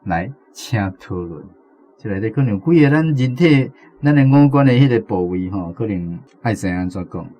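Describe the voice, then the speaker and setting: A man's voice: on the low side, expressive, normal speed, warm tone. A man with a Taiwanese accent hosting a language quiz in a conversational way, moderating between contestants and teachers. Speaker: host of a radio language quiz segment.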